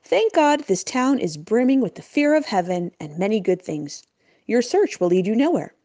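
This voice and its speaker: A woman's voice: medium pitch, big pitch swings, moderate pace, warm tone, dry recording, lightly acted. A woman voicing a guarded, bitter townsman in a storybook tale, speaking in a dismissive, grudging way. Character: guarded, bitter small-town local man.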